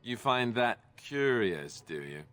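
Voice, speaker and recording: Wry, animated young warrior. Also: male voice, mid-range voice, slow pace, soft background music. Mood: defensive. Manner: wry, bickering. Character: sarcastic, defensive young warrior companion. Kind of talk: video game voice acting.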